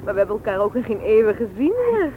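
A woman's voice: mid-range voice, very animated, quick pace, narrow, tinny audio, noisy background, close to an everyday voice. A woman playing a chatty, curious, slightly vain young friend in a radio play, gushing. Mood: bubbly. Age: young adult. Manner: gushing, inquisitive. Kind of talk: vintage Dutch radio play acting.

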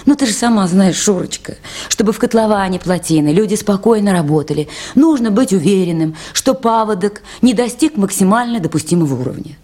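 A woman's voice: mid-range voice, lively intonation, brisk, few pauses, warm tone, close to an everyday voice. An actress playing a composed, dutiful young woman river-station worker in a radio play. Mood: earnest, resolute. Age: young adult. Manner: composed, earnest. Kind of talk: 1980s Soviet radio play acting.

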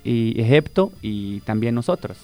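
Man, native Arabic speaker, from Palestine, radio guest, patient teacher, repeats words, mid pitch, faint music. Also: expressive, dark tone. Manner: explains slowly, repeats words for emphasis.

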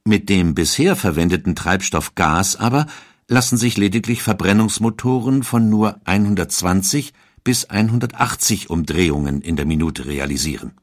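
Man, low voice, calm explanatory narration, dry close recording. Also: moderate pace, no room sound. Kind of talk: scripted documentary narration.

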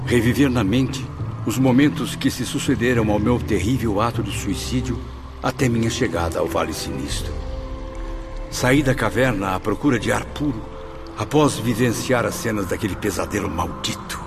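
Actor as low-voiced, sombre spirit narrator. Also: male voice, moderate pace, over music, close to an everyday voice. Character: sombre male spirit recounting his own torment. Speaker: first-person narrator. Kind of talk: solemn dramatised narration.

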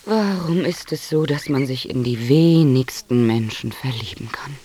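A woman's voice: a bass voice, a little slow, dark tone. An actress playing a lonely, lovesick woman who tells her love life in intimate, clipped, confessional radio drama narration. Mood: melancholy. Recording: music behind the voice.

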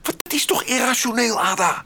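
A man playing a passionate, rationalising lover in a radio drama, with a theatrical, expressive delivery. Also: extremely high pitch, brisk, slightly rough, exaggerated voice. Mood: anguished. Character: passionate, agitated male lover, romantic and rationalising. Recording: loud background ambience.

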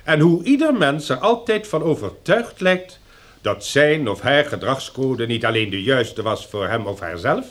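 A man's voice: mid-range voice, big pitch swings, measured pace, a bit bright. A man acting in a radio drama as an elderly sociology professor, earnest and long-winded, lecturing in a pedantic, oratorical way. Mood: pedantic, ponderous.

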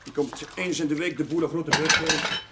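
An actor playing a kindly, slightly awkward village chaplain in a television drama, speaking in a conversational, hesitant way. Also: male voice, fairly high pitch, fairly even intonation, brisk, close to an everyday voice. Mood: evasive, easygoing. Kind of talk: naturalistic television drama acting. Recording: noisy old TV soundtrack, clattering dishes.

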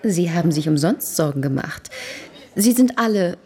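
An actress playing a proud, reserved young princess in a radio drama, bantering in a cool, guarded, pointed way. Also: female voice, fairly low pitch, lively intonation, normal speed, a crowd in the background, natural voice. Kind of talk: German radio drama acting.

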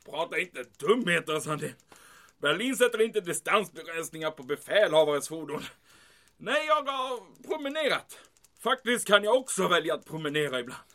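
A man's voice: a little high, sing-song, fairly bright tone. A man playing a pompous German army major in an audio drama, blustering, evasive and easily flustered. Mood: flustered, defensive.

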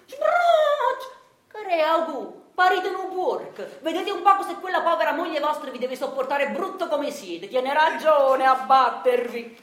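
A woman voicing a blunt, mocking male Neapolitan street peddler in a radio play, loud and thick with dialect. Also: sits high, big pitch swings, a little slow, pitched above the performer's own voice. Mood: mocking, scolding. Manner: loud, jeering, dialect-heavy. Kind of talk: theatrical radio monologue. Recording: roomy echo, faint noise.

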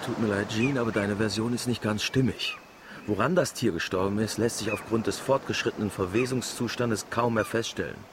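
An actor playing a dry, blunt, teasing village friend and drinking companion in a radio drama. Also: male voice, on the low side, brisk, noisy background, close to an everyday voice. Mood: matter-of-fact.

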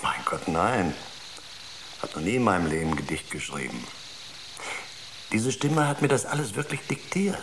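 A man's voice: low-pitched, sing-song, normal speed, pauses often. An actor in a German radio drama playing a worried, insistent spaceship crewman. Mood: grave, explaining. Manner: earnest, insistent. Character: worried, urgent male spaceship crewman arguing with colleagues. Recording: clearly noisy ambience behind the voice.

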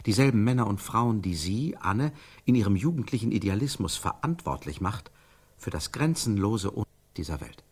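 A man's voice: lowish voice, lively intonation, moderate pace, breathy edge. An actor playing an intense scriptwriter who improvises a melodramatic scene in a stylised radio play, searching for the words as he narrates. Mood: intent. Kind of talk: stylised radio drama acting.

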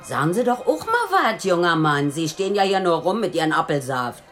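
A woman's voice: fairly low pitch, sing-song, fairly quick, a bit bright, faint music behind. An actress playing a blunt, teasing woman in a 1920s Berlin pub for a German radio play, brash and direct. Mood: mocking.

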